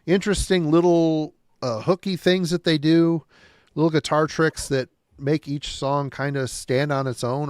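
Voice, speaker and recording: A man's voice: mid-range voice, no room sound, clean studio sound. A man from the United States chatting loosely on a podcast, rambling and enthusiastic with mild swearing. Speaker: podcast guest. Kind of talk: casual podcast chat about metal albums.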